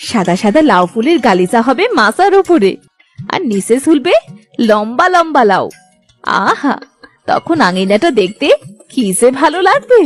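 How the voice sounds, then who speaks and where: Cartoon elder daughter-in-law played by a woman: high, very animated, caring and reassuring, music underneath. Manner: tender, reassuring, affectionate. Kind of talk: Bengali moral-story cartoon dubbing.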